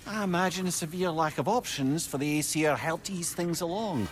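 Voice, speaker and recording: A man's voice: on the high side, normal speed, a little hiss or hum, lightly acted. An actor playing a teasing, talkative male head in a game cutscene, sly and courtly. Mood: wry.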